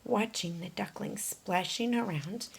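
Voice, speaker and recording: A woman's voice: medium pitch, unhurried, creaky, breathy edge. A woman reading a picture book aloud for young children, explaining things in an instructive way as a storyteller. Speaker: storyteller, teacher.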